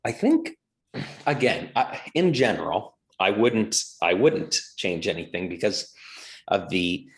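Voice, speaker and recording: A man's voice: fairly low pitch, very animated, measured pace, low-quality recording. A man on a casual morning talk show, speaking thoughtfully and hesitantly, with frequent restarts. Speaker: co-host.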